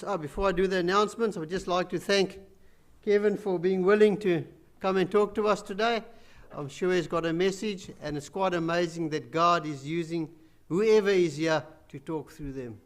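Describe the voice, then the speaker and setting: Brief: church elder, loud voice, slightly high, casual and self-deprecating. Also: male voice. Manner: loud, chatty, self-deprecating, many fillers. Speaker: church elder giving welcome and announcements. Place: small church hall.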